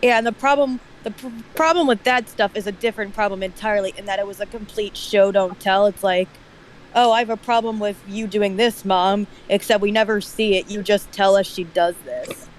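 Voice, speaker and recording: A woman's voice: medium pitch, fairly even intonation, a little fast, low-quality recording. A woman in a casual stream discussion, conversational and opinionated, sometimes acting out imagined dialogue. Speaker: stream participant. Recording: faint background noise.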